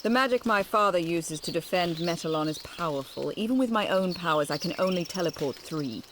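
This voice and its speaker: A woman playing a solemn, defiant sorceress in a video game, speaking deliberately. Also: lowish voice, lively intonation, noisy background, close to an everyday voice. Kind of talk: video game cutscene acting.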